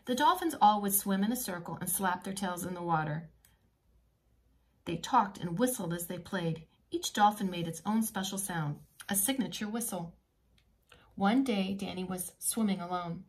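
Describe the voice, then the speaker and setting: Expressive woman reading a picture book aloud. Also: medium pitch, moderate pace. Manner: expressive, teacherly, adding simple asides. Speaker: preschool teacher reading aloud.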